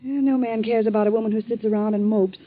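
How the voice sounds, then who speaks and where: Woman acting a husky, brisk, confident horsewoman. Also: on the high side, fairly even intonation, natural voice. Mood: brisk, scornful. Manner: brisk, blunt. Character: brisk, confident, flirtatious horsewoman, bluntly scornful. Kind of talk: 1940s radio drama acting. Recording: dull old 1940s radio recording.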